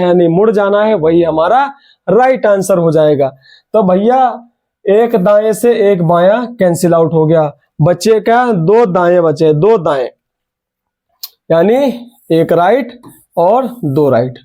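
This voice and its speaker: A man's voice: high voice, dark tone. A young man with a sore throat teaching an online exam reasoning class, urging students on and repeating key words.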